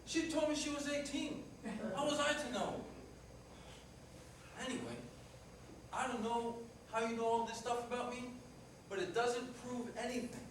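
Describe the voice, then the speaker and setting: A man's voice: extremely high pitch, moderate pace, pauses often. A man playing a skeptical, easygoing, plainspoken cab driver in a live staged play reading. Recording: noisy, very echoey hall, distant mic.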